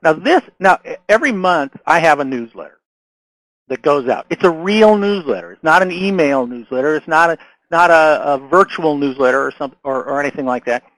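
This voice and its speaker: A man's voice: a little high, expressive, fairly quick, a bit bright, no echo, low-quality recording. A man who is losing his voice gives a casual marketing lesson, talking loosely with restarts and checking in with "right?" and "okay?". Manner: conversational, many restarts and fillers, frequent "right?" and "okay?". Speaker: marketing consultant. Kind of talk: casual instructional talk to camera.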